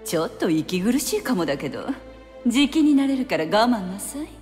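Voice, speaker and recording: A woman's voice: mid-range voice, very animated, brisk, darkish tone, lightly acted. A woman playing a haughty, impatient witch in an anime game, condescending and teasing, with a curt, bossy streak. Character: haughty, impatient, sultry adult female witch. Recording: long reverb over background music.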